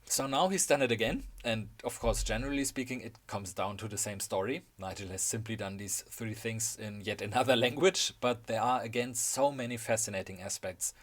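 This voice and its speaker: Man, German accent, somewhat low voice, wry scripted narration, upbeat storyteller. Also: brisk, few pauses. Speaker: video essay host.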